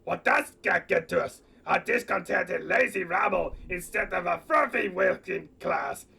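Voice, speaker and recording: An actor playing a pompous, scornful rich old banker villain in a comedic radio play, declaiming each line. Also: male voice, sits high, a little slow, creaky, sharp tone, slightly rough, far from the mic, heavily acted. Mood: scornful, haughty.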